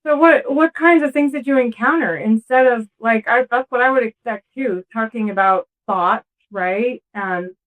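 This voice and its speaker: A woman's voice: a little high, moderate pace, few pauses, a bit bright, poor audio. A woman speaking as the host of an interview podcast. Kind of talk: scripted introduction and conversational interview.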